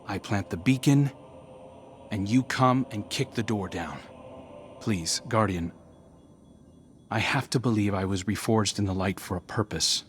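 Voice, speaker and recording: Earnest, low-voiced warrior prince, a man acting. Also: a little hiss or hum, close to an everyday voice. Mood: earnest, determined. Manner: earnest, measured, pleading. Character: earnest, resolute male warrior prince seeking redemption. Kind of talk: scripted game dialogue.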